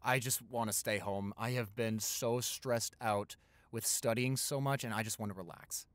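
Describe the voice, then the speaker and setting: A man's voice: lowish voice, fairly even intonation, moderate pace, fairly bright tone, no echo, close to an everyday voice. A man playing an affectionate, easily flustered young boyfriend in an improvised intimate roleplay, chatty and tender, stammering when flustered. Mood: relaxed, affectionate.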